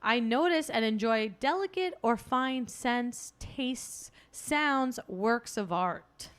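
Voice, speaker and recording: A woman's voice: on the high side, animated, takes it slowly, fairly bright tone, clear, resonant tone. A woman co-hosting a podcast, chatting casually and reading out quiz questions with a playful, laughing manner. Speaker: podcast co-host.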